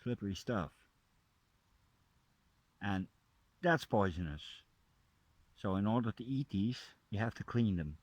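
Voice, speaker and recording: Dutch man, low-pitched, unhurried, soft outdoor vlog narration. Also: expressive, no room sound, muffled recording. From Netherlands. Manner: soft-spoken, drops to a hushed near-whisper up close. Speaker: nature vlogger. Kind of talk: casual narration while foraging. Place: forest, outdoors.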